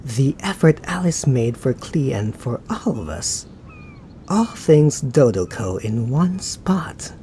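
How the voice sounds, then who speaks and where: A man playing a suave, teasing, laid-back young knight in an ASMR roleplay, talking to the listener in an unhurried, musing way. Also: medium pitch, sing-song, warm tone, a little hiss or hum. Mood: musing, fond.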